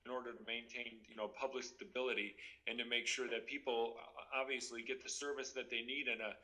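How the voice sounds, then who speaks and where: Bright, forceful male police trooper. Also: mid-range voice, little pitch movement, a little fast, low-quality recording. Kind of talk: interview explanation.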